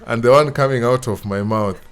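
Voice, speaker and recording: A man's voice: medium pitch, clean tone. A man with a Kenyan accent hosting an interview in a conversational way, often repeating himself as he searches for words. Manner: halting, searching for words with repetitions. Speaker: show host. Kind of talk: conversational interview hosting, mixing Swahili and English.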